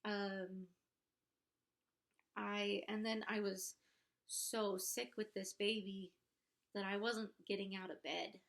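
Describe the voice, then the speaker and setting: A young woman vlogger speaks slowly at a middling pitch, sounding congested. Her delivery is casual and confessional, with a candid, halting and somewhat self-conscious way of getting her thoughts out. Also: flat delivery, vocal fry, fairly bright tone. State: congested, pregnant. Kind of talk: casual confessional talk to camera.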